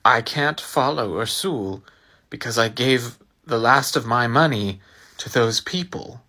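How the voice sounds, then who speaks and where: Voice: medium pitch, unhurried, bright, forceful tone, voice pushed down. A voice actor playing an earnest, awkward young man who gets flustered.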